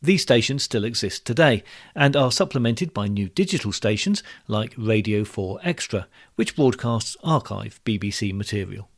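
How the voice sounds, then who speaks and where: A man's voice: on the low side, expressive, normal speed. A British man hosting a podcast, giving scripted narration and putting questions to a guest. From United Kingdom. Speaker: podcast host and interviewer.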